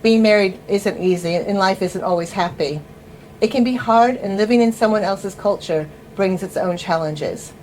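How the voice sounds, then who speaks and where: A woman's voice: medium pitch, a little mellow, slight background noise, poor audio. Wry woman reading a personal memoir. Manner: wry, deliberate delivery addressing the listener directly. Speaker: writer reading her own memoir piece.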